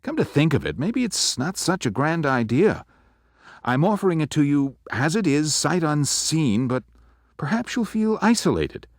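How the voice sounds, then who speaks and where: A man's voice: on the high side, very animated, normal speed, no room sound, clean studio sound. A man playing a genial, fatherly older estate owner who rambles and second-guesses himself, in old-time radio drama style. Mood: fretful.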